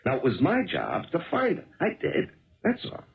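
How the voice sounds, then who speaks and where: An American actor playing a plodding, plain-spoken homicide detective and husband in a 1970s radio drama. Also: male voice, fairly high pitch, wildly swooping pitch, normal speed, gravelly, exaggerated voice. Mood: defensive, exasperated. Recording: muffled old radio broadcast.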